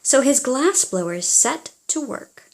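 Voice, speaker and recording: Woman reading a kids audiobook, takes it slow, really expressive and theatrical, talking right to the children. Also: high-pitched. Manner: theatrical, addresses children directly. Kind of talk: expressive children's storybook narration.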